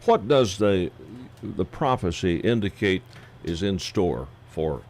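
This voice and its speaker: A man hosting a casual radio interview, folksy and halting, with many fillers. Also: deep voice, animated, a little mellow. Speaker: radio host.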